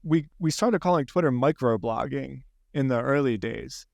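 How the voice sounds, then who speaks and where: A man's voice: medium pitch, moderate pace, creaky, no room sound, dull audio. A man with a Philadelphia accent, hosting a podcast in casual, rambling conversation with frequent restarts. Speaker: podcast host.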